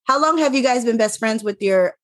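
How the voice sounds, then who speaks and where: A woman's voice: on the high side, expressive, a little fast, no room sound. Brief: woman, 40s, panel host, teasing, close dry sound. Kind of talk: hosting a panel chat.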